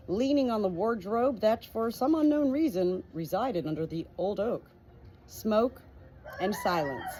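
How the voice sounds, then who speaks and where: A woman reads a storybook aloud in a husky voice at a middle pitch. She follows a written text as an audiobook narrator. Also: a little mellow, poor audio. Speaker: audiobook reader.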